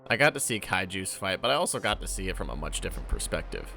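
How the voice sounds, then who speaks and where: A man's voice: low voice, very animated, quick pace, gravelly, bright, forceful tone, faint music behind. A man narrating a scripted recap and review with wry asides. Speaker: guest co-commentator.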